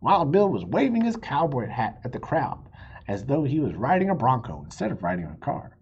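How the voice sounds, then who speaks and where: A man reading a children's story aloud in a playful, expressive way, with a husky voice. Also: mid-range voice, moderate pace, muffled recording. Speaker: storybook reader.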